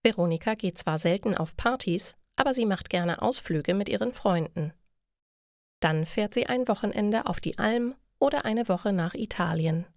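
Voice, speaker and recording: Low-pitched female story narrator, dry sound. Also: a little mellow, no room sound. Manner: clear, measured reading. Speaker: narrator of German learning stories. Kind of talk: scripted story narration for language learners.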